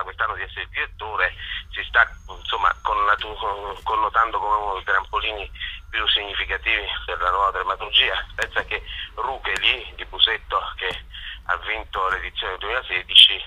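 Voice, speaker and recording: Festival director, male. Husky, sharp-edged delivery, effusive and hesitant, unscripted interview. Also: lowish voice, steady tone, normal speed, poor audio. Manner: enthusiastic, effusive, hesitant with frequent self-corrections. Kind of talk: informal promotional interview, speaking off the cuff.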